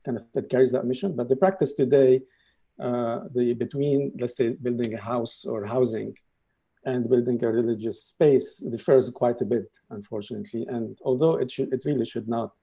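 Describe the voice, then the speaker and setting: Gravelly, dark-voiced man on a panel. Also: mid-range voice, steady tone, normal speed. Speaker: architect and panelist. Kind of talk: online panel discussion.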